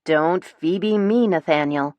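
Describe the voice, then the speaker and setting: A woman performing a hurt, defiant woman in her twenties in an audiobook. The delivery is insistent, sharp and emotional, lifted above the narration and recorded dry. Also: lowish voice, big pitch swings, moderate pace, dry recording, muffled recording. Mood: angry. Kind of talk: audiobook character dialogue.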